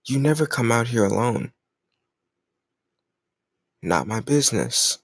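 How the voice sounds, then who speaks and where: Man playing a cocky, gravelly flirt. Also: mid-range voice, animated, no room sound, full character voice. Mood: needling, insistent. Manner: teasing, cajoling, smug, drawn-out words. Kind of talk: intimate romantic audio roleplay.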